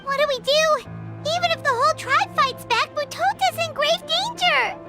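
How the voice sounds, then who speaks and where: A woman voicing a tiny, anxious, childlike creature companion in a video game, squeaky and fretful. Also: moderate pace. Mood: worried. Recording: game music behind the voice.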